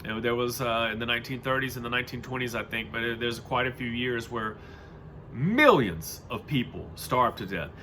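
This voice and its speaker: Bright-voiced American man, noisy recording. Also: medium pitch, lively intonation, normal speed. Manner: rambling, informal, loses his thread. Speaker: reader and commentator. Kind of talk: reading a book aloud with casual off-the-cuff commentary.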